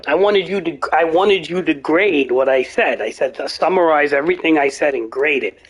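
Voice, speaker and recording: A young man streaming a game, rambling and ranting, swearing now and then, his voice going hoarse. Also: a little high, moderate pace, a little hiss or hum. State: voice getting hoarse. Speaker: gaming streamer. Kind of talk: rambling live-stream chat.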